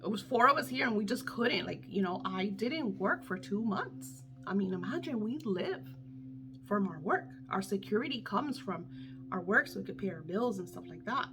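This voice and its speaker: A woman's voice: mid-range voice, lively intonation, normal speed. A middle-aged woman coaching her viewers in casual, candid talk, emphatic and swearing freely, her voice a little worn as if she is losing it. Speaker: manifestation coach.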